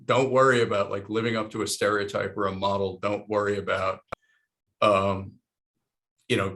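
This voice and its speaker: Low gravelly guy from lebanon, middle-aged investor, rambly and blunt, keeps restarting. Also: a bit bright. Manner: rambling, frank, many restarts. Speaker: panel guest, investor. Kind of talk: casual panel conversation.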